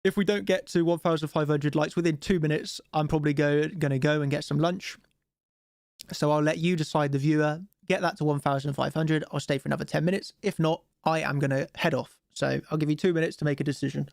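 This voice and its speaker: A man's voice: a little high, fairly even intonation, fairly quick, creaky, no room sound, studio quality. A man with a cold and a stuffy nose, chatting casually while hosting a live trading stream and asking viewers for likes. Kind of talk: live-stream chart commentary.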